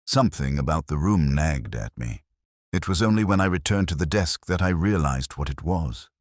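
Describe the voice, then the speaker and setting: A man playing a melancholy, observant detective, narrating a mystery in a measured, literary, deliberate manner. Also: extremely low pitch, lively intonation, no room sound, clean studio sound. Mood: pensive, uneasy. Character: melancholy, observant older male detective narrating his case. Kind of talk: scripted first-person mystery narration.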